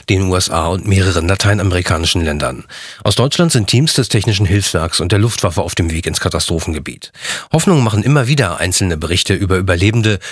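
A man delivering a scripted news report in an urgent, hurried manner. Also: low voice, breathy edge, no echo, studio quality. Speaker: news correspondent.

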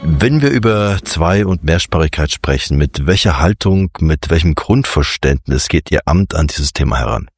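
A man hosting a radio magazine show, presenting in a slow, playful way and asking questions. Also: a bass voice, lively intonation, slightly rough, no room sound, clean studio sound, faint music behind.

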